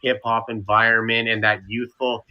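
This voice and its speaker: A man's voice: medium pitch, level tone, normal speed, lo-fi sound. A young man from Canada, a streamer guest chatting in an interview, rambling and restarting with lots of fillers. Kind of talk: casual interview chat.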